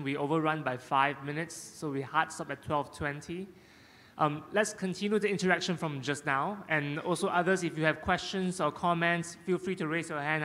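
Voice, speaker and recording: A man running a conference session in a brisk, organizing way. His voice sits somewhat high for a man. The sound rings with the long reverb of a big hall. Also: fairly bright tone. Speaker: session moderator.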